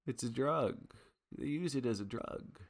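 A man voicing a frightened high-school boy caught stealing, hushed and pleading, in a 1940s noir radio drama. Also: lively intonation, measured pace. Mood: nervous. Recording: long reverberant hall sound.

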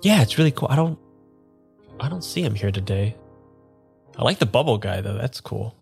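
An actor as a bewildered man who has lost his memory, in an improvised radio drama. His mid-pitched voice is earnest and unsure, in an everyday register, over faint music. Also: lively intonation, creaky, darkish tone. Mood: earnest wonder. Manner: halting, unsure, rambling. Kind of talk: improvised audio drama dialogue and first-person narration.

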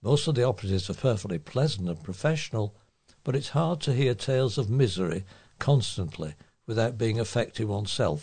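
Husky, low-voiced man reading. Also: darkish tone. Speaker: volunteer newspaper reader. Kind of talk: read-aloud local newspaper articles.

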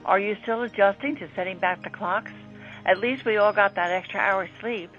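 A woman's voice: mid-range voice, moderate pace, fairly bright tone. A woman presenting an astrology segment on radio, reading from a script. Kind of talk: scripted radio horoscope narration. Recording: dull lo-fi audio. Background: faint music.

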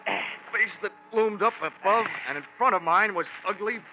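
An actor playing a hard-boiled, wisecracking investigator with an American accent, wry and deadpan in 1940s radio detective style. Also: male voice, high voice, moderate pace, natural voice. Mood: tense, dramatic. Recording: muffled, hissy 1940s radio recording.

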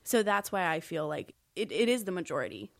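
A woman's voice: lowish voice, very animated, a little fast, gravelly, no echo, studio quality. Congested young woman, candid chat. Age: young adult. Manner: candid, laughs often, swears casually. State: congested from a flu. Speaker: podcast co-host. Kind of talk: casual chat.

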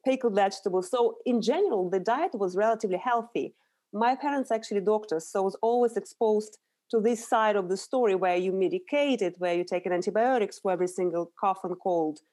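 A middle-aged woman with a Russian accent talks through her routines and background as a podcast guest. Her voice is mellow and soft-edged, sitting at an ordinary middle pitch. She explains things earnestly and often restarts a phrase before going on. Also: fairly quick. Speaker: guest, nutritional therapist. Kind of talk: conversational interview answers.

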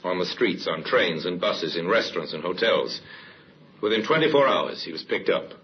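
Grave narrator, deep voice, measured, suspenseful old radio drama, dull recording, faint hiss. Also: male voice, animated. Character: solemn male narrator of a crime story.

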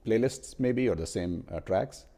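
A man's voice: fairly low pitch, a little slow, mellow. A man whose first language is Hindi, co-hosting a casual tech discussion and telling a consumer story in a thoughtful way, with frequent restarts. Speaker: co-host.

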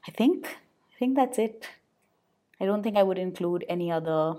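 A woman's voice: mid-range voice, animated, normal speed, dark tone. A woman from India chatting casually, hedging as she thinks aloud. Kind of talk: casual chat.

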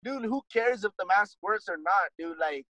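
A laid-back man with a slightly high voice, rambling through casual talk and dropping swear words and "dude" as he goes. He is recorded on a dry, close microphone. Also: animated, moderate pace, bright, forceful tone, no room sound, muffled recording, soft background music. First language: English. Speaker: musician guest. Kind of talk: casual conversation.

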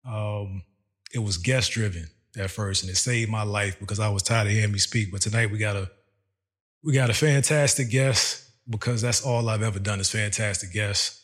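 Husky, upbeat podcast host man. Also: on the low side, normal speed, fairly bright tone. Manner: upbeat, friendly, chuckles often. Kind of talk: casual interview hosting.

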